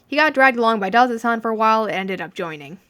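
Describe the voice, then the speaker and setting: A young mafioso from the future, played by a woman, speaks in an audiobook story. He is stern, yet gentle and reassuring with those he trusts. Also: on the high side, expressive, fast. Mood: calm, explaining.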